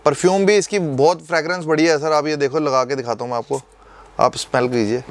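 A man in his thirties, a shopkeeper politely pitching perfumes and prices, calling the host sir. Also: fast talker. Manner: polite, calls the host sir, steady salesman. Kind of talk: sales pitch. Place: indoor perfume shop.

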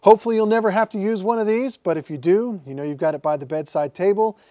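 A man's voice: sits high, fast talker, dark tone, no echo. A man, a TV meteorologist walking viewers step by step through setting up a device in a studio. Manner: friendly step-by-step explaining, handling a radio. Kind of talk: instructional broadcast demo. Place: TV weather studio.

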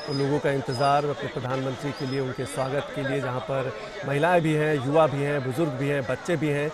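A man's voice: medium pitch, little pitch movement, fairly quick. A man narrating a live news broadcast of a roadshow, talking urgently and repeating himself with fillers. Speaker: TV news reporter. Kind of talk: live news commentary over roadshow footage. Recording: loud crowd cheering and music behind. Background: crowd chanting and music.

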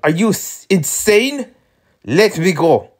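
A reader puts on a haughty gentleman held captive in a story game. The delivery is formal and theatrical, with lively, swinging pitch. He sounds exasperated and indignant. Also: slow pace. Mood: alarmed.

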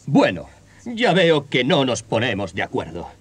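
An actor playing a greedy, pushy rich man with a husky, blustering voice on a storybook cassette. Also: medium pitch, wildly swooping pitch, unhurried, no room sound, heavily acted. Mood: calm.

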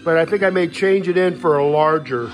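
Male hiker, slightly high voice, folksy and matter-of-fact, talking through gear off the cuff at home into a phone. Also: fairly quick, faint music behind. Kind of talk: casual unscripted gear walkthrough. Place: indoors in a home living room. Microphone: handheld phone camera, speaker behind it.

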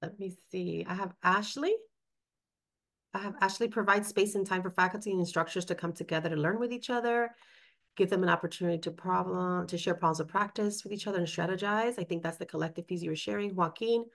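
A woman from New York talks through a webinar on a video call, with a slightly low voice. She reflects on her time as a principal and reads out comments from the chat. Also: fast talker, gravelly, a bit bright. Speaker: webinar co-host, former principal. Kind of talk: conversational webinar discussion.

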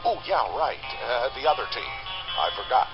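Man voicing an animated wisecracking cartoon narrator. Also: mid-range voice, normal speed, natural voice. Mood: chatty, uneasy. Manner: animated, exaggerated, chatty, self-interrupting. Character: excitable wisecracking cartoon TV-watching narrator, adult male. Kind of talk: comic cutscene narration. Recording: boxy lo-fi screen capture with loud music. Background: loud game music.

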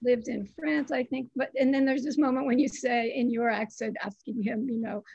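A woman interviewing an artist, speaking haltingly with many restarts. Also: fairly high pitch, steady tone, vocal fry, a little mellow, husky, muffled recording. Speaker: interviewer, editor. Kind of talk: conversational art interview.

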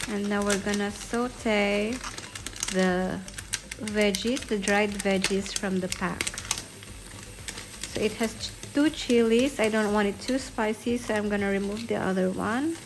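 Slow, easygoing woman narrating a home cooking video. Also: mid-range voice. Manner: slow, unhurried step-by-step narration. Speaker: home cook vlogger. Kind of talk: cooking voice-over. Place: home kitchen, food sizzling in pan. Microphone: voice-over. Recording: audible kitchen noise behind.

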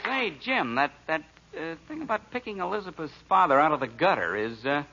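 A man's voice: fairly high pitch, very animated, brisk, gravelly. Mild, hesitant American family man, middle-aged, widely swooping voice, vintage radio sitcom. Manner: hesitant, stammering. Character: mild, flustered, henpecked middle-aged husband and father. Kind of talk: 1940s radio comedy acting. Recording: dull, noisy 1940s radio recording.

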